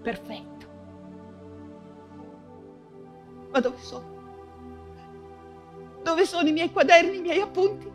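An actress playing an anguished, feverish persecuted writer and mother in an intense theatrical radio monologue, halting and repeating her words. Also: very high voice, expressive, soft background music. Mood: lost, sorrowful. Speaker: stage actress.

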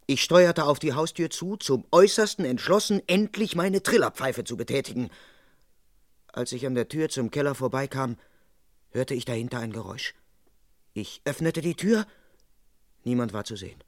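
An actor playing an indignant, self-important police constable in an old German radio crime play, earnest and dryly comic. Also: male voice, mid-range voice, sing-song, moderate pace, long pauses, no echo, studio quality, natural voice. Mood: tense, earnest narrating.

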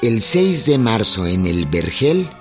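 A man with a Mexican accent giving measured, dramatic narration for a historical radio drama. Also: on the low side, big pitch swings, over music.

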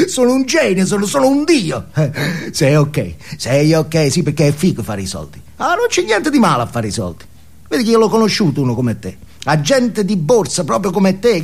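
An actor playing a boastful, crude, foul-mouthed drug dealer in a comic stage monologue, rambling and jumping between confiding and shouting. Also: male voice, on the high side, vocal fry, full character voice. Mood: manic, boastful.

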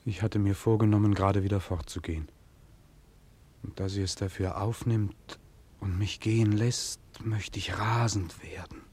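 Very husky, lovelorn young man. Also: on the low side, steady tone, darkish tone. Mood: bitter. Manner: theatrical, halting, half-whispered. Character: anguished, lovelorn, despairing young townsman, rejected suitor. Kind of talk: 1940s German radio drama acting, brooding monologue. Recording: old 1940s radio recording.

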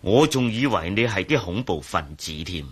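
Radio drama: genial elderly professor, Hong Kong Cantonese, very rough husky low voice, unhurried and avuncular. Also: male voice, sing-song. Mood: amused, genial. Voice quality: gravelly, husky. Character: genial older male professor of humanities.

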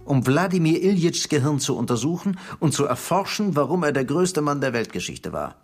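An actor in a radio drama playing a scheming, secretive Soviet security chief who speaks in a conspiratorial, patronising, insistent way. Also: male voice, on the high side, very animated, a little fast, soft background music. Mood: explaining.

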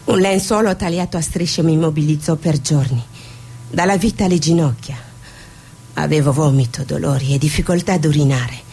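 Stage drama: actress as wounded, defiant Somali woman, deep and mellow, slight background noise. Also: moderate pace. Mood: anguished, haunted. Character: Somali woman, scarred by suffering, defiant and mocking. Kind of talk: stage monologue and dramatic dialogue.

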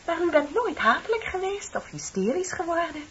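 An actress playing a chatty, flattering office secretary in her thirties in a radio play, with a polite, effusive manner. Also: very high-pitched, normal speed, heavily acted. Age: 30s. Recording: dull, noisy old radio recording.

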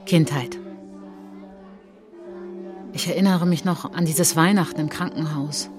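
Radio drama lead: reflective, resolute woman recalling childhood, lowish voice, measured phrasing, music underneath. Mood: reflective, sober. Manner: measured literary storytelling in short phrases. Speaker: narrator of autobiographical monologue. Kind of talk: German radio drama first-person narration. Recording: music behind the voice.

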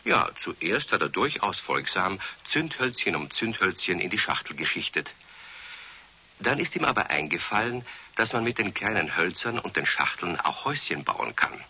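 Male narrator, deep, slightly raspy, scripted children's story, wry, storytelling. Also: big pitch swings, moderate pace, bright, forceful tone.

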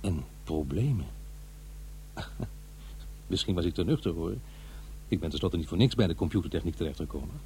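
A man's voice: low-pitched, animated, normal speed, long pauses, a little mellow, slight background noise. An actor playing a middle-aged divorced father who muses wryly over his memories in a radio drama. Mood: nostalgic, puzzled. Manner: musing, hesitant, talking to himself. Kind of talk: radio drama monologue, conversational and introspective.

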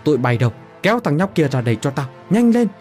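A man's voice: medium pitch, sing-song, moderate pace, darkish tone, soft background music, close to an everyday voice. A man voicing a cruel, scheming older woman who barks harsh orders and sneers. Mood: harsh commanding.

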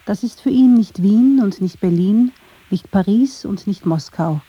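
A woman narrates a radio feature from a script. Her voice is very dark and soft-edged, with some huskiness. The sound is a little muffled. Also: medium pitch, lively intonation, unhurried, husky, no echo.

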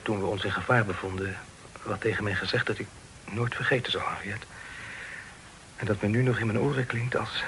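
A man's voice: mid-range voice, gravelly, bright, forceful tone, a little hiss or hum, low-quality recording. An actor playing a bashful young man in love in a period radio drama, pleading in hesitant, formal, old-fashioned phrases. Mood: shy, tender. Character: earnest, bashful young suitor, hopelessly in love.

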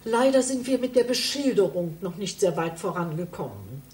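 An actress in a radio play playing a self-important library information clerk who talks in a matter-of-fact, bureaucratic way. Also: female voice, fairly low pitch, sing-song, normal speed, a little mellow, slight background noise. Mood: proud, officious. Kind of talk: German radio play acting.

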